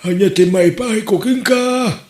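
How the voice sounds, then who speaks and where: A man with an Ilocano accent acting an unhurried village helper in a comedy drama. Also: high-pitched, close to an everyday voice. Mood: welcoming. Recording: roomy echo, music behind.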